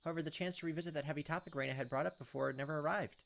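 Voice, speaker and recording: A man's voice: a little high, steady tone, very fast, gravelly, a bit bright. A man hosting a casual let's play, reading visual novel text aloud and adding offhand, jokey commentary. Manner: jokey asides and reactions. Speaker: gaming video host. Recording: music behind the voice. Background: visual novel game music.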